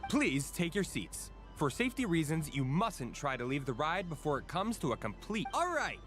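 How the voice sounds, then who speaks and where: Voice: mid-range voice, big pitch swings, moderate pace, soft background music, natural voice. An actor voicing an eager, showy amusement ride attendant in a video game, formal with dry asides. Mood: polite, announcing. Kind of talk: animated video game voice acting.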